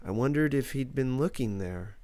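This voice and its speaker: A man's voice: medium pitch, animated, normal speed, mellow, dry recording. Man narrating a novel, close dry recording. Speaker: audiobook narrator. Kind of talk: scripted first-person novel narration.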